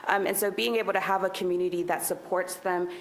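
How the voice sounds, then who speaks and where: A woman presenting to a city council work session, speaking loudly in a relaxed, conversational way with many fillers. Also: on the low side, fast. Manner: loud voice. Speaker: task force presenter. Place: council meeting room. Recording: echoey meeting room with faint noise.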